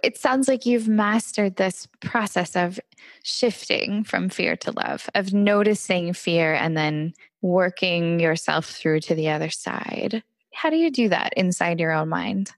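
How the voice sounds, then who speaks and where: A woman hosts an interview, framing her questions thoughtfully and reflecting on what she hears. Her voice is lowish for a woman, and the sound is dry and close, with no room around it. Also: very animated, unhurried, dry recording. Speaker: podcast host. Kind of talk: interview conversation.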